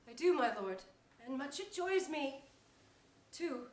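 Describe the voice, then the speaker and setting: A woman as a high-voiced, proud noblewoman. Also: lively intonation, unhurried. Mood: cool, yielding. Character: proud, reluctant young noblewoman in mourning. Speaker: stage actor. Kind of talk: theatrical Shakespearean staged reading. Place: indoor theatre stage. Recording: echoey, noisy theatre, distant mic.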